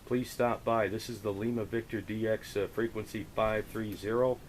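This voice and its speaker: A man operating a radio and hosting a contact, chatting casually and chummily in a halting, hesitant way. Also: on the low side, fairly even intonation. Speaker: amateur radio operator running the frequency. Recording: hissy, noisy radio recording. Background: radio static hiss.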